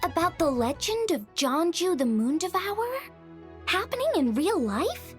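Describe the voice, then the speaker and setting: A woman's voice: extremely high pitch, very animated, measured pace, soft background music, exaggerated voice. A woman playing a sweet, earnest young village woman in video game dialogue.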